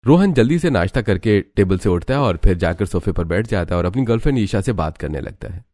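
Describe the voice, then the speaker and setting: A man's voice: low-pitched, animated, fast, warm tone. A man narrating a scripted cartoon family story.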